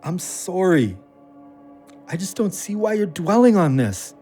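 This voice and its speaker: A guarded friend in an audio drama, weary and quick to dismiss. His voice sits a little high, moves around a lot and takes its time, with music underneath. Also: sing-song, mellow, breathy edge, heavily acted. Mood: impatient. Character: guarded, weary male friend, consoling but short-tempered.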